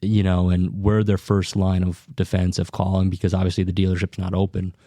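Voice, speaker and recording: Young salesman guest, deep dark voice, relaxed joking talk, dry studio sound. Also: brisk, no echo. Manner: joking, laughs often, many fillers. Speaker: equipment sales representative. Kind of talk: casual podcast chat.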